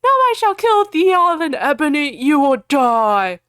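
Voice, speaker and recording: A woman voicing a pompous, gloating male dark wizard who makes slow, theatrical threats in archaic speech. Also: high-pitched, sing-song, no echo, clean studio sound, voice pushed up, full character voice. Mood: gloating menace.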